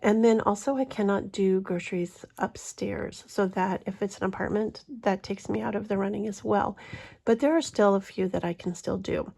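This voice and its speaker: A woman's voice: medium pitch, vocal fry, mellow. A woman with a stuffy voice from a cold, which cuts in and out, chatting casually and rambling with frequent ums as host of her budgeting channel. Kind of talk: casual vlog chat.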